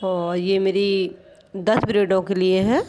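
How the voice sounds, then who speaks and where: A woman's voice: mid-range voice, fairly even intonation, takes it slowly, warm tone. A woman teaching a home cooking recipe step by step in her kitchen, patient and explanatory, often pointing things out as she goes. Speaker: home cooking channel host. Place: home kitchen at a gas stove.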